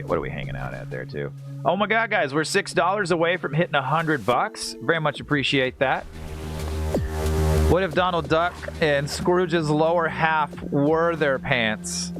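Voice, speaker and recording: A man live streaming a video game, chatting casually with viewers in a rambling, jokey way and reacting aloud as he plays. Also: mid-range voice. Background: video game music.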